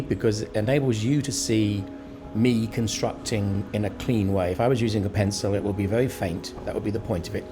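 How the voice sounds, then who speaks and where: Male art vlogger, lowish voice, conversational and thoughtful tutorial delivery over prominent background music. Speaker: urban sketcher presenting a tutorial. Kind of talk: informal explanatory art vlog commentary.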